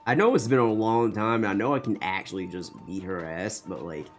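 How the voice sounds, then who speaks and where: A woman's voice: very deep voice, fairly quick. A woman doing casual, sweary, joking live commentary as she streams a game. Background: faint game music.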